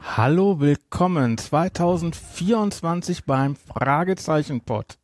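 A man hosting a podcast in casual chat, often halting and correcting himself as he goes. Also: a little high, animated, darkish tone, dry recording, clean studio sound. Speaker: podcast host.

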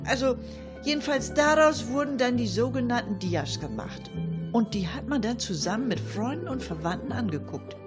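A woman's voice: a little high, lively intonation, moderate pace, over loud music, natural voice. A woman playing a chatty older lady in a comic monologue, rambling colloquially and addressing her listeners with mock indignation. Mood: chatty, explaining.

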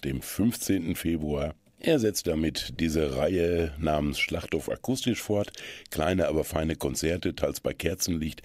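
A man's voice: extremely low pitch, a little mellow, no room sound. A man hosting a radio music magazine, presenting upcoming events and songs. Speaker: radio host.

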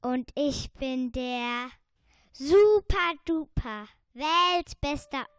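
A woman's voice: high-pitched, animated, very slow, dry recording, studio quality, exaggerated voice. A young female performer playing a boastful, anxious little male earworm in a children's radio play, with dramatic exclamations. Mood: curious, boastful.

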